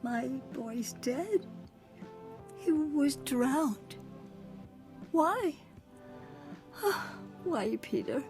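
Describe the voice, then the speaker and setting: High-voiced, theatrical aristocratic lady. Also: lively intonation, extremely slow, long pauses, over music, heavily acted. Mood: grieving. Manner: theatrical, wistful, dreamy. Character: eccentric middle-aged aristocratic woman, sentimental, scatterbrained, grieving mother. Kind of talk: visual novel voice acting.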